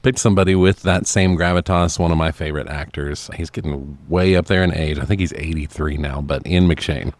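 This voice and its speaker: A man's voice: extremely low pitch, steady tone, fairly quick, hardly any pauses, no echo. A man co-hosting a podcast, talking casually and enthusiastically about films and swearing freely. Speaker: podcast co-host. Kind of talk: casual movie chat.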